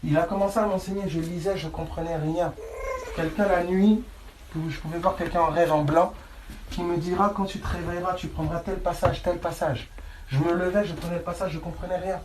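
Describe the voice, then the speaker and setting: A man's voice: high-pitched, steady tone, brisk. A man preaching informally, insistent and colloquial, repeating phrases and often checking that listeners follow. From France. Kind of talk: informal sermon, Bible teaching. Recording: echoey room, clear background noise.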